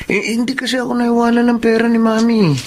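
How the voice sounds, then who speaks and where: An actor with a Filipino accent playing a spoiled, manipulative young boyfriend who sweet-talks in a radio drama. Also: male voice, extremely high pitch, monotone, a little fast, loud music behind the voice. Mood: sweet-talking.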